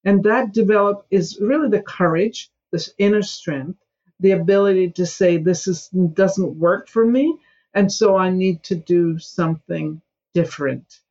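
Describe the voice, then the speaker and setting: A woman's voice: medium pitch, unhurried, darkish tone, lo-fi sound. A woman in her seventies from Scotland, a guest in a podcast interview, speaking emphatically and sometimes mimicking whiny excuses. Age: 70s.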